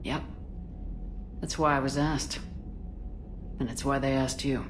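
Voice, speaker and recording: An actress playing a steady, frank military commander in a video game, direct and composed with dry teasing. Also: female voice, very deep voice, unhurried, noisy background. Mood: firm, supportive. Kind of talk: conversational video game acting.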